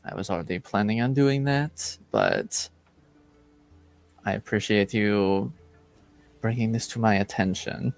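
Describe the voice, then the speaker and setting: A man voicing a reserved, formal father of few words in a tabletop roleplaying game, terse and measured. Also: on the low side, big pitch swings, gravelly, faint music behind, heavily acted.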